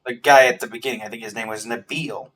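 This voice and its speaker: A man's voice: medium pitch, steady tone, fast, fairly bright tone. A man giving casual commentary as he reacts to a video game.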